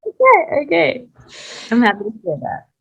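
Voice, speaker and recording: A woman in her late twenties from Texas, an actor being interviewed, talking in a chatty, lively way and laughing often. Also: high-pitched, moderate pace, gravelly, slightly rough, dull audio. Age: late 20s. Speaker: actor guest. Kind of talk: casual interview chat.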